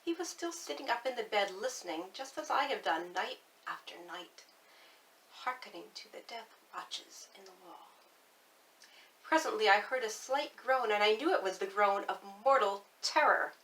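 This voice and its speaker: A woman giving a dramatic, spooky reading as a nervous, obsessive mad murderer narrating his crime, swinging between hushed and intense delivery. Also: medium pitch, animated, recorded from a distance, a little hiss or hum, poor audio. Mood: tense, brooding. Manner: theatrical, swinging from hushed to intense, deliberate emphasis. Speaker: storyteller reading a classic horror tale aloud.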